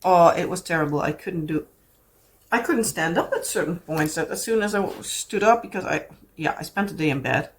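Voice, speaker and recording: A woman's voice: fairly low pitch, lively intonation, a little fast, a little hiss or hum. Chatty Dutch-accented woman vlogger. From Netherlands. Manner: chatty and rambling, many fillers and restarts. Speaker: craft vlogger. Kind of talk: casual chat to camera.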